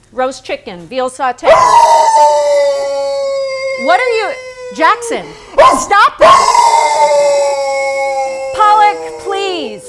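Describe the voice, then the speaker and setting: An actress playing a painter's embittered, sharp-tongued wife in a theatrical staged reading, with biting sarcasm. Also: female voice, very high-pitched, noisy background, exaggerated voice. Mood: exasperated. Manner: sardonic, biting. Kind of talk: theatrical staged reading, narration mixed with dialogue.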